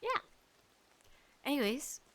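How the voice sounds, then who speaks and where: A woman with a nasal, congested voice hosting a casual, rambling solo podcast in an animated, pleading way with self-deprecating asides. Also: slow. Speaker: podcast host.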